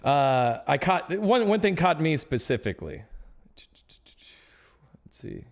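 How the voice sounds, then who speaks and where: A man's voice: a little high, sing-song, takes it slowly. A man talking casually on a live stream about esports, ranting with growing indignation.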